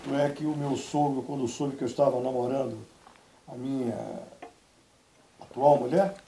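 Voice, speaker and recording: A man's voice: mid-range voice, echoey room, slight background noise, low-quality recording. A man from Salvador, Bahia, telling stories about his life as a guest on a TV interview show. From Brazil (Salvador, Bahia). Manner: storytelling, measured, quotes others in lively asides. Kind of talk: relaxed TV interview talk. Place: TV studio.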